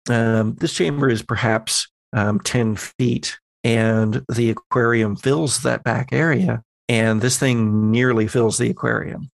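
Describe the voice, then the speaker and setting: Slow, deliberate man narrating tabletop horror. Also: medium pitch, fairly even intonation, creaky, dry recording. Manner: deliberate, descriptive, halting pauses. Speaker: game master.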